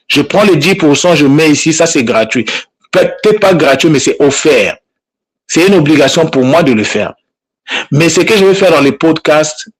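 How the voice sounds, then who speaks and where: A man speaking informally and insistently to his online group as their language teacher, repeating himself and scolding now and then. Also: fairly high pitch. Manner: insistent, admonishing, with frequent repetitions. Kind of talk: informal spoken address to followers.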